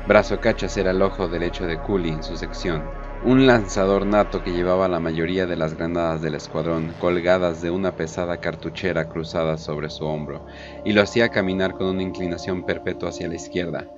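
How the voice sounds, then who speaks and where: Deep male story narrator over music. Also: fairly even intonation, brisk, lo-fi sound. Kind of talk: scripted dramatic story narration. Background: prominent background music.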